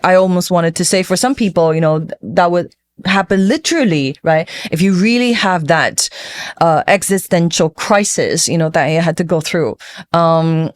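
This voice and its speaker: A middle-aged woman from Taiwan who grew up speaking talks as an interview guest in a fairly low voice. She reflects casually on her own life, leaning on emphasis and small checking tags. The sound is dry, with very little room around it. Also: no room sound. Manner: informal, emphatic, frequent checking tags like "you know" and "right". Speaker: interview guest, finance executive.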